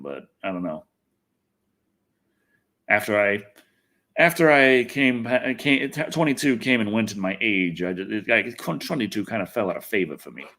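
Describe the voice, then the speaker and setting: American male livestream host, very lively pitch swings, rambling, self-deprecating, casual swearing. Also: vocal fry, breathy edge. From Texas, United States. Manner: self-deprecating, swears freely, thinks aloud. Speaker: livestream host and video reviewer. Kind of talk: casual rambling livestream chat answering viewers.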